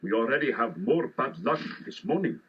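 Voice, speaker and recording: An actor playing a superstitious, cautious male desert guide who speaks halting, ominous broken English in a children's story. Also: medium pitch, lively intonation, husky. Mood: ominous warning. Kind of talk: children's audio story acting. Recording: muffled lo-fi tape, music behind.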